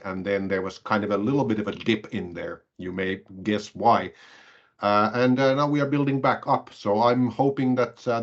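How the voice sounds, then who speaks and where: A man representing a study programme, answering questions informally on a video call. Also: lowish voice. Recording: dull, muffled online call audio.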